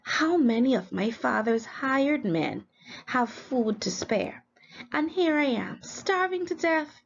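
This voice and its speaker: A humble, desperate young man pleads in a creaky storybook voice, played by a woman narrator. He sounds repentant, and the recording is dull. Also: high voice, very animated, takes it slowly, voice pushed up, exaggerated voice. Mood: despairing. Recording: dull lo-fi recording, faint background noise.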